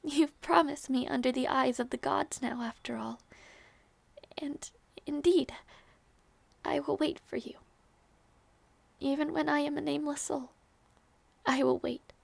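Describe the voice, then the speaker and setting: A woman acting a young princess in an intimate audio roleplay, tender and wistful, with soft, regal phrasing that hides sadness. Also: high-pitched, steady tone, creaky, no room sound, heavily acted. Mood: solemn, tender, then devoted. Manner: soft, measured, regal phrasing with small teasing turns.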